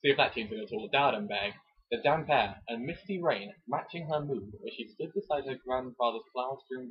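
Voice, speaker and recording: A man with a very husky, breath-roughened voice reads romance prose in a theatrical, mock-serious style. He often steps out of the narration to make jokey comments. The recording is dull and lo-fi. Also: mid-range voice. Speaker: amateur reader and commentator. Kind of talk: mock-dramatic reading of a romance novel with joking asides.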